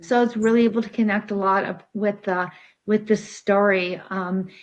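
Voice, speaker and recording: A woman's voice: mid-range voice, fairly even intonation, normal speed, low-quality recording. A woman from Ontario, Canada, co-hosting, introducing herself and asking questions in an upbeat manner. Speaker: co-host.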